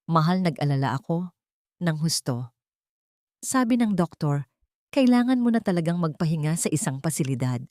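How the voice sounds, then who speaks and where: A woman's voice: sits low, dark tone, no room sound, clean studio sound. A woman voicing a deceitful, greedy boyfriend with menace under false sweetness, in an audio story. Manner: falsely tender, turning hostile.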